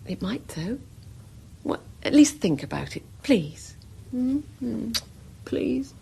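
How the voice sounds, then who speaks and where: An actress playing a playful, coaxing young British wife in a radio drama, wistful and teasing. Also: female voice, a little high, very animated, slow, vocal fry, mellow, breathy edge, full character voice. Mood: pleading. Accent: British English.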